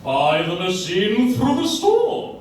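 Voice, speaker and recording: A man's voice: high voice, huge pitch swings, takes it slowly, heavily acted. A man playing a jovial, blustery department store boss in a live radio play, with hearty, emphatic delivery. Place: coffeehouse stage, picked up from the audience. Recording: echoey faintly noisy room, distant microphone.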